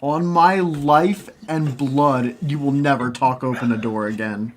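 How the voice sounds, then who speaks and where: A man's voice: mid-range voice, unhurried, slight background noise. A man co-hosting a casual podcast chat, interjecting with dry teasing comments.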